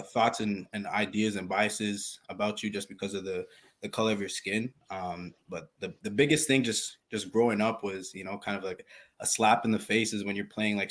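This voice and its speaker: A man with a fairly low voice shares his experience on a panel. He speaks haltingly, restarting phrases and leaning on "like" and "you know". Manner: halting, filler-heavy. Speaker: athlete panelist. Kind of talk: casual panel talk.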